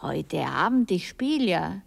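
An actress with an Austrian accent playing a seductive, imperious and theatrical stage star who teases and commands in a 1960s radio play. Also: female voice, lowish voice, very animated, slow pace, vocal fry, heavily acted. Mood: indignant. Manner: coaxing, teasing, commanding. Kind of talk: 1960s German-language radio drama acting.

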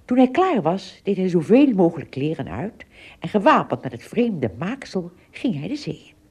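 A woman narrating a scripted adventure story for a radio play, in expressive storyteller style. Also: lowish voice, moderate pace, husky.

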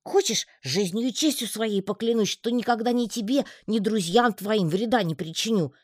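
A woman's voice: mid-range voice, no room sound, studio quality, pitched above the performer's own voice, natural voice. A woman voicing a tiny, lonely male spider who talks in a plaintive, pleading way for an audiobook. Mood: indignant. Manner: plaintive, pleading, quick to take offence. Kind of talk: audiobook reading.

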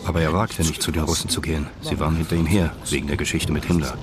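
A man's voice: rumbling low, steady tone, quick pace, over music. A man reading scripted narration for a radio crime drama.

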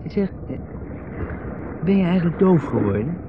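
An actor playing a placating, wry husband in a 1970s radio drama, soothing, teasing and at times hesitant. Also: male voice, fairly high pitch, big pitch swings, moderate pace, background noise. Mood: awkward, hesitant. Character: placating, wry husband, timid younger brother. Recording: dull, distant old radio recording.

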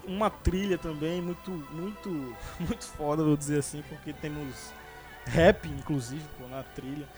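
A man's voice: fairly high pitch, measured pace, music in the background. A man hosting a casual podcast about films, talking with many fillers and restarts. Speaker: podcast host. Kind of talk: casual movie chat.

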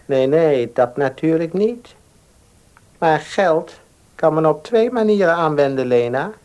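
A man's voice: fairly high pitch, animated, measured pace, darkish tone, lo-fi sound. A man playing a pious, calculating advice-giver in a Dutch radio play, sanctimonious and persuasive.

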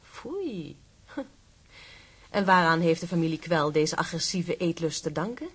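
An actress playing a cool, haughty young fiancée in a radio drama, polite, composed and condescending. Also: female voice, lowish voice, lively intonation, unhurried, slightly rough. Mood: cool, amused.

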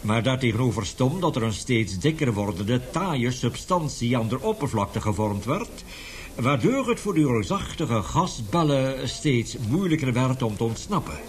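An actor playing an older, indignant scientist professor in a radio play, vehement and lecturing, halting at times. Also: male voice, medium pitch, sing-song, slight background noise, lightly acted. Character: indignant, passionate scientist professor with a weak heart. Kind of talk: radio drama acting.

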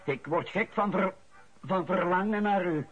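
A scoffing Flemish husband in a radio play. His voice sits on the high side, theatrical and drawn-out, and the recording is dull and lo-fi. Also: a bit bright. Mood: mock swooning. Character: mocking Flemish husband reading a love letter aloud. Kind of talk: radio drama acting.

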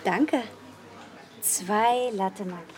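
An actress in a radio drama playing a brisk, businesslike younger woman. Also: mid-range voice, darkish tone, lightly acted. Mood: polite, businesslike. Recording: roomy, echoing sound.

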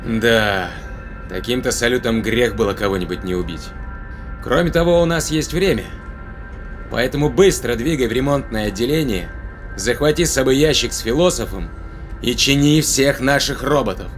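An actor playing a grimly determined spaceship captain in a science fiction audio drama, blunt, terse and commanding. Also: male voice, mid-range voice, moderate pace, soft background music, close to an everyday voice.

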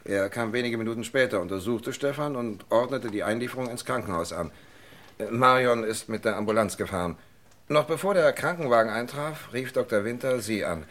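An actor playing a well-mannered, uneasy husband under police questioning in a German radio crime play, speaking hesitantly and guardedly. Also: male voice, mid-range voice, fairly quick, fairly bright tone, natural voice. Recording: faintly noisy old radio recording.

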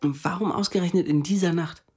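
A man's voice: fairly high pitch, fast talker, darkish tone, soft background music, natural voice. An actor playing an insistent, calculating young man who questions a woman and narrates in a radio drama. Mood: probing.

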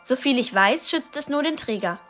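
An actress playing an earnest, loyal friend of the heroine in a radio drama, explaining things seriously. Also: female voice, high voice, expressive, moderate pace, fairly bright tone, faint music behind. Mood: grave. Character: earnest, loyal female ally of the young heroine.

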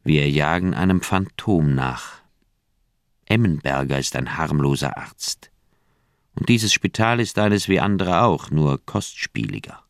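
A sombre older doctor, worried and grave. The voice is very deep and slow, in a dry radio-drama recording. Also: male voice, dry recording. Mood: doubting.

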